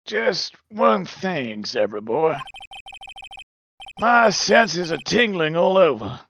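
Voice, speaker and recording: A man voicing a sly, hard-boiled lawyer in a game dub, needling and deliberate as he questions. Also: a little high, very animated, creaky, a bit bright, faint music behind, exaggerated voice. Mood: sly, probing. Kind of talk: live fan dub of a visual novel game.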